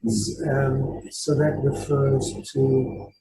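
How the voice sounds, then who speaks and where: A man's voice: medium pitch, monotone, drawn-out words, vocal fry, dark tone. A man in a video-call study group, tentative and thinking aloud as he comments. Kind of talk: casual discussion on a video call. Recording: echoey room with background noise.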